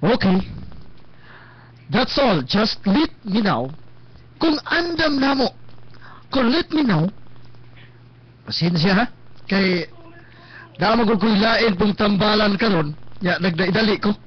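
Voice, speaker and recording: An actor playing a brisk, detached doctor, hurried and businesslike, in a radio drama. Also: male voice, high-pitched, lively intonation, husky, exaggerated voice. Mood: brisk, dismissive. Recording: muffled lo-fi stream with music.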